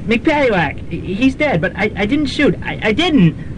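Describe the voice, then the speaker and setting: A man playing a nervous, dithering professor in a student radio drama, halting and stammering as he talks. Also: very animated. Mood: bewildered. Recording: noisy rumbling student radio recording.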